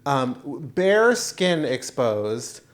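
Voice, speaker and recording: A man's voice: medium pitch, big pitch swings, very slow. A man co-hosting a podcast in casual comedic banter, telling stories in a playful, self-mocking way. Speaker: podcast co-host.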